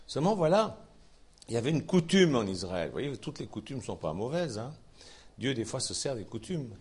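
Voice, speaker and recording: Male Swiss French lay preacher, folksy and chatty, husky, very animated pitch. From Switzerland. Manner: folksy, chatty asides, lively rising emphasis. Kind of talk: informal Bible sermon.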